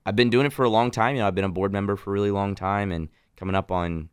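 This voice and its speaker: A man's voice: lowish voice, racing, smooth, dry recording. A nineteen-year-old man from Nashville with a mixed Southern and Midwestern accent, chatting as a guest in a radio studio. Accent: mix of Southern and Midwestern American. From Nashville, Tennessee. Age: young adult. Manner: friendly, animated storytelling with restarts. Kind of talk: casual radio interview chat.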